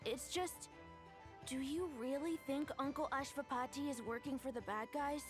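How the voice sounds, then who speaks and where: An actress voicing a worried young girl in a game, speaking hesitantly in halting bits, over game music. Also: high voice, lightly acted.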